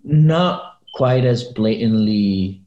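A man from Chile with a lowish voice, recorded in a roomy, echoing space. He chats casually, thinking out loud as he goes and dropping in slangy asides. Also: expressive, drawn-out words, dull audio. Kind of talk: casual chat. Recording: echoey room.

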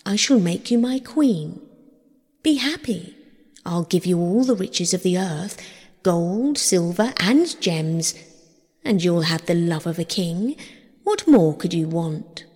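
A commanding god of the underworld, played by a woman storyteller, possessive and coaxing. The storybook king speaks insistently and slowly in a long, reverberant space. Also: fairly low pitch, lively intonation, darkish tone, slightly rough, full character voice.

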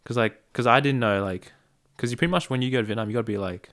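A man's voice: medium pitch, racing, a bit bright. Young guy rambling in casual chat. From Australia. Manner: rambling, filler-heavy talk, "like" every few words.

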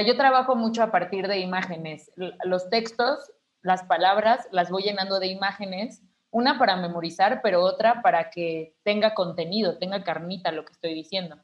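A woman in her twenties, an actress, talking thoughtfully as a podcast guest, tired yet energetic, often ending phrases with a questioning tag. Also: medium pitch, muffled recording. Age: 20s. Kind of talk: reflective conversation.

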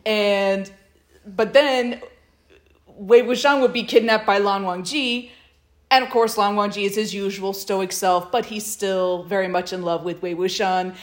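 A woman's voice: medium pitch, steady tone, unhurried. A woman podcast host talks in a loose, casual way. She is enthusiastic and prone to giggling, recapping stories and recommending them like she would to a friend. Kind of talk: casual chat recapping and recommending fan fiction.

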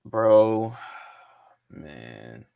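A man's voice: lowish voice, big pitch swings, extremely slow, creaky, clean tone, narrow, tinny audio. A man co-hosting a live podcast, chatting casually and loosely, swearing a lot and saying "bro" and "man". He is losing his voice. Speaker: podcast co-host. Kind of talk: casual live-stream chat.

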